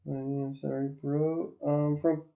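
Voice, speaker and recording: A man from northwest Iran chats casually with his livestream viewers, a native Azeri and Farsi speaker. He talks slowly, keeps a flat, even pitch, and stops often to hunt for the right word. The audio is slightly dull and lo-fi. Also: gravelly. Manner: hesitant, searching for words, many restarts and fillers. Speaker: livestream host. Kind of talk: casual chat with stream viewers.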